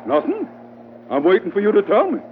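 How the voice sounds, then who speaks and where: Sly first mate, hesitant teasing, high bouncy voice, vintage radio drama. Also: male voice, wildly swooping pitch, a little fast, phone-quality sound. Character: teasing, familiar first mate called Red. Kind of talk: 1940s radio drama acting. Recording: noisy band-limited old radio recording.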